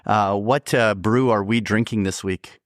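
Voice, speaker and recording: A man's voice: on the low side, steady tone, measured pace, no room sound, studio quality. A man co-hosting a podcast, bantering and teasing in a laid-back, joking way. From Canada. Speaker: podcast co-host.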